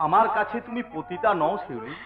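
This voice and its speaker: A man's voice: high-pitched, big pitch swings, brisk, narrow, tinny audio. A man playing an impassioned, righteous betrayed husband in folk theatre, declaiming theatrically. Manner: declamatory, thundering accusations. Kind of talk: Bengali jatra folk-theatre acting. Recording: echoey stage recording, distant mic, faint music.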